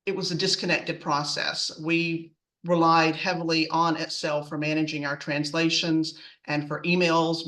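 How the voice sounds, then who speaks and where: A woman's voice: low voice, a bit bright, distant mic. A woman presenting in a semi-scripted, businesslike way as a customer speaker on a webinar.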